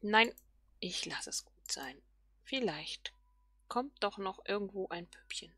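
A woman giving casual game commentary, musing softly and half whispering to herself. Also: medium pitch, expressive, slow, husky, dry recording, studio quality. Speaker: let's player.

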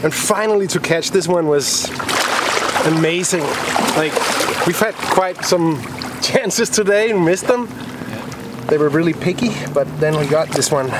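Danish man, excited on a windy river boat. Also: on the high side, unhurried. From Denmark. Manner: enthusiastic, laughing often. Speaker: visiting angler. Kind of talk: excited casual chat. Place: open boat on a river outdoors.

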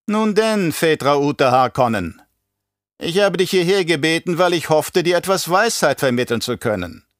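A man's voice: high voice, dry recording, studio quality, pitched above the performer's own voice, close to an everyday voice. A man playing a scheming, imperious older baron in an audiobook, with a mocking, condescending, theatrical delivery. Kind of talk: audiobook character acting.

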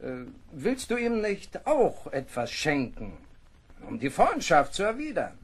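A diplomatic, scheming sea captain in an adventure radio play. The voice is fairly high and very slow, formal and hesitant in delivery. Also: male voice, huge pitch swings, breathy edge, exaggerated voice. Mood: ingratiating.

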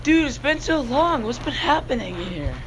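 A woman's voice: high voice, big pitch swings, normal speed, heavy background noise. A woman playing an anxious, urgent young hero in an amateur Minecraft roleplay. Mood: surprised.

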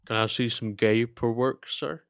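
A man's voice: mid-range voice, steady tone, moderate pace, vocal fry, a bit bright. A man voicing a crude, joking traffic cop in a mock-official, teasing manner. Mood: mock-stern.

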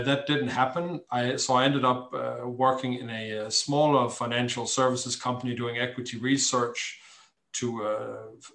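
A middle-aged man with a Danish accent talks conversationally as an interview guest, in measured, hesitant phrases with frequent pauses. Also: medium pitch, a bit bright. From Denmark. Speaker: interview guest, charity founder. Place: small cluttered room at home, indoors. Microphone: computer mic on a video call. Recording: echoey room sound.

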